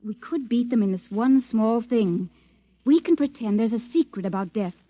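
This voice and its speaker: A woman's voice: on the high side, normal speed, warm tone, no echo, lightly acted. An actress playing a defiant, idealistic woman captive, earnest and emphatic, in 1950s radio drama style. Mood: earnest, pleading. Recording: dull, band-limited 1950s radio recording.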